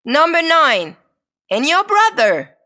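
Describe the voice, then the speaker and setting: A woman reading scripted English dialogues and exercise questions for a learners' audio book, clear and deliberate. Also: sits high, big pitch swings, sharp tone. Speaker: textbook audio reader.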